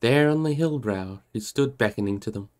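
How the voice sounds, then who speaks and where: A man's voice: medium pitch, moderate pace, a little mellow. A man narrating a book aloud on a livestream, moving between storybook reading and casual chat with listeners.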